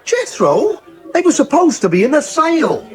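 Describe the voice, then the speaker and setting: An actor playing a downcast, bitter dairy farmer, gruff and grudging, in a naturalistic radio drama. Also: male voice, extremely high pitch, wildly swooping pitch, normal speed, faint music behind, full character voice. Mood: resigned, irritated.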